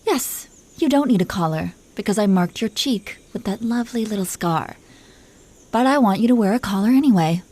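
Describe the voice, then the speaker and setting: A woman's voice: medium pitch, animated, moderate pace, dark tone, close to an everyday voice. A woman playing an imperious, possessive dragon queen who teases her husband with condescending affection in an audio roleplay. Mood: possessive, smug. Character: haughty, teasing, possessive dragon queen and doting wife. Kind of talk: scripted roleplay monologue spoken to the listener.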